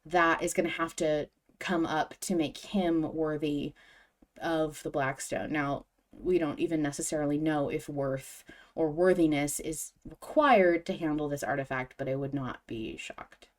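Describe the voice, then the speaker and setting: A woman's voice: low-pitched, fairly even intonation, a little slow. A woman hosting a podcast, chatting casually as a fan in an informal discussion. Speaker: podcast host.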